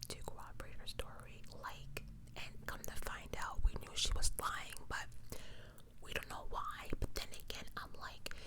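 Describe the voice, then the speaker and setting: ASMR host, pure soft whisper throughout, true-crime narration broken up by casual slangy commentary. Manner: casual, slangy asides between read passages.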